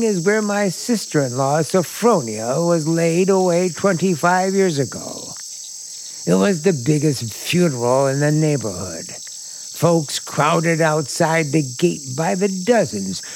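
An actor playing a menacing, obsessed hotel owner in 1940s radio suspense style, brooding, sinister and deliberate. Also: male voice, fairly high pitch, darkish tone, slight background noise. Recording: old radio drama with music behind.

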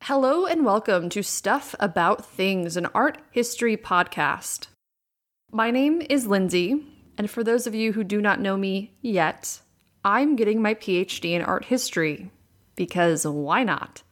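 A young adult woman hosting a solo podcast, with a raspy voice and vocal fry worn from recording many times, talking candidly and repeating words for emphasis. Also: mid-range voice, animated, measured pace. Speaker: podcast host. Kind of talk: casual solo podcast talk. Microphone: USB condenser microphone.

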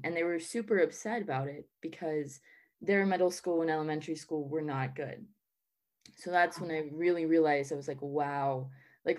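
Low-pitched young woman, chatty and rambling. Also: a little mellow. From Southern United States. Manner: chatty, lots of "like" and "um" fillers. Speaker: interviewee. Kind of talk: casual interview answers.

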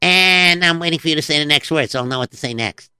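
A goofy, interrupting sidekick who mishears everything and trips over his own words, as a man voices him for a children's story show. The voice is very bright and clean, recorded close and dry. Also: a little high, fast, smooth, dry recording. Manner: scatterbrained, stammering, giggly. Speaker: comic sidekick.

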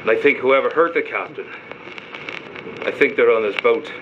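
An actor playing a hot-tempered, loyal stowaway prisoner, defiant and emotional, in an audio drama. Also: male voice, medium pitch, normal speed, muffled recording, noisy background, lightly acted. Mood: pleading, earnest. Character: hot-tempered lower-class stowaway prisoner, fiercely loyal to his friend.

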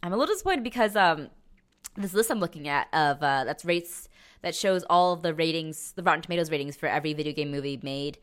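A young woman with a notably low voice, co-hosting a casual podcast. She talks informally and a little haltingly, stating her opinions bluntly. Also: lively intonation. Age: young adult. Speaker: podcast co-host. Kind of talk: casual opinionated movie chat.

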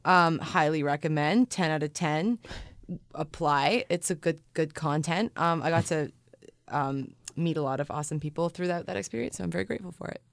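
A woman's voice: sits low, normal speed, creaky, no room sound, studio quality. A young Canadian woman chatting casually as an interview guest, dryly joking and self-deprecating. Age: young adult. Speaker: musician and writer guest. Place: radio studio.

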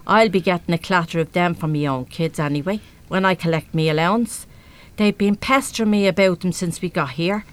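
A woman's voice: fairly low pitch, a little fast, lightly acted. An actress with an Irish accent playing a brash, big-hearted, uneducated working-class mother in a live radio play, talking bluntly and colloquially with mild swearing. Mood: enthusiastic, pushy.

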